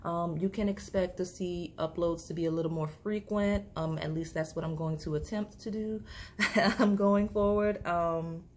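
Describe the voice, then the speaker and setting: A woman, slightly hoarse, chatting casually through a tarot reading to camera, with lots of fillers and restarts. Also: fairly low pitch, fairly even intonation, normal speed, a little mellow, slight background noise. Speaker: tarot reader.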